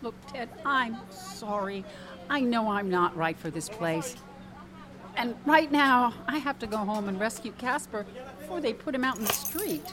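An actress playing a sharp-tongued, harried woman in a radio comedy, sarcastic and exasperated. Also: on the high side, expressive, unhurried, heavy background noise. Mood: grateful, rueful. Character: wry, harried woman, broke and sharp-tongued bar worker. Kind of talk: radio comedy drama acting.

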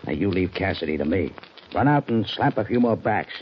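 Low-voiced, domineering crooked boss. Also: male voice, lively intonation, fairly quick. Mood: smug, controlling. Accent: American. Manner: commanding, smug, terse. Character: domineering, scheming small-town political boss, a villain. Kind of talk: old-time radio western acting. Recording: muffled, noisy vintage radio recording.

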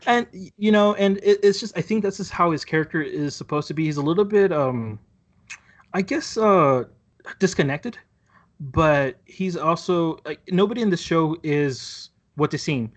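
Man, casual podcast chat, slightly high voice, halting, restarts a lot. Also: animated. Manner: rambling, halting with many restarts and fillers. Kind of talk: casual chat reviewing a TV show.

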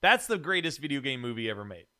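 A man's voice: mid-range voice, very animated, fast, sharp tone. A man hosting a podcast, chatting casually about films. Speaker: podcast host. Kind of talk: casual film chat.

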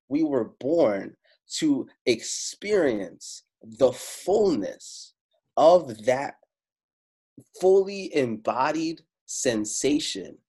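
A man whose first language is Italian, telling stories in a casual talk on spirituality. He swears freely and slows down to stress his points. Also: medium pitch, darkish tone, husky. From Italy. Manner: casual and profane, slows down for emphasis. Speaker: spiritual speaker.

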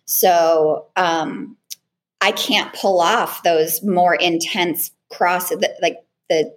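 A woman trial lawyer with a small, girlish voice, answering interview questions in a relaxed way with frequent ums and restarts. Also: lowish voice, very slow. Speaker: trial lawyer and cross-examination trainer.

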